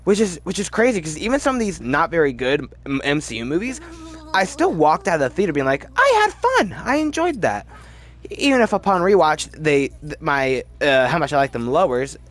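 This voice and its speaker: A man's voice: fairly high pitch, big pitch swings, normal speed, a bit bright. A man hosting a casual, opinionated commentary video, talking in a rambling, emphatic way and often interrupting himself. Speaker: video host.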